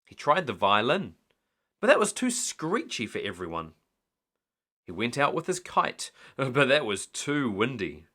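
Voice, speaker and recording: A man's voice: mid-range voice, big pitch swings, a little slow, bright, forceful tone. A man reading a children's bedtime story aloud expressively, as a storyteller.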